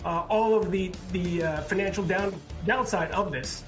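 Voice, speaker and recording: A man working as a banking reporter, explaining developments off the cuff in a halting way on live news. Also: high voice, faint music behind. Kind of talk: live unscripted news analysis. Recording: roomy, echoey sound.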